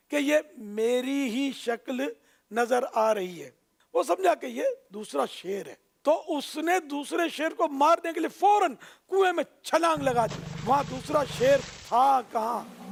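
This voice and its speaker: A man telling a children's moral story in an expressive way, addressing his young listeners directly and drawing out phrase endings for emphasis. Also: very high-pitched, takes it slowly, vocal fry.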